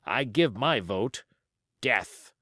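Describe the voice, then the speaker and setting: A male reader puts on a commanding, crafty pirate sea cook from an adventure storybook. He speaks slowly in folksy sailor grammar, weighing his words, and his pitch rises and dips widely in a dry, close recording. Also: lively intonation, fairly bright tone, no room sound, full character voice. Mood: cold, menacing.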